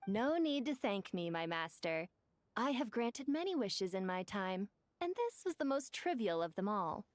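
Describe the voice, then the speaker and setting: A woman's voice: mid-range voice, very animated, unhurried, no room sound, soft background music. A woman voicing an ancient, regal female desert spirit in a video game, with a formal, poetic and languid manner. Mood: confident.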